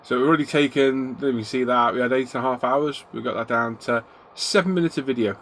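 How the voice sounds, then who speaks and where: A man's voice: medium pitch, quick pace, a bit bright. Middle-aged man, stuffy from a cold, casual live stream host, home hobby room, faint background hiss. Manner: chatty, greets viewers by name. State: congested, getting a cold.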